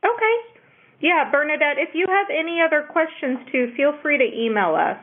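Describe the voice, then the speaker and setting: A woman's voice: high voice, animated, moderate pace. A woman moderating a networking conference call, speaking over a telephone line. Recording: narrow tinny phone conference line.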